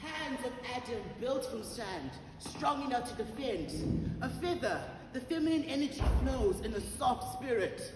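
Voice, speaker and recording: A woman's voice: fairly high pitch, very animated, measured pace. A woman performing a reproachful spoken word poem for wronged women on stage. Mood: mournful, reproachful. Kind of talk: dramatic spoken word poetry. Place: large auditorium stage. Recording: echoey auditorium from far off, faint noise.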